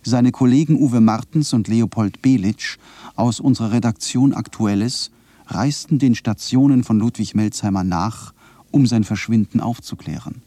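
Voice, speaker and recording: An actor playing a sober radio announcer, reading a formal scripted bulletin. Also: male voice, medium pitch, normal speed, dark tone, no room sound, lightly acted.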